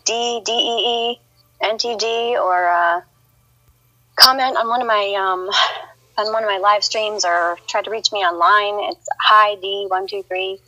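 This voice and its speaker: Bright-voiced hesitant woman streamer. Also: medium pitch, steady tone, unhurried, muffled recording. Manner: hesitant, many ums and restarts, laughs often. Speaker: streamer and clan recruiter. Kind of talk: casual chat on a game stream.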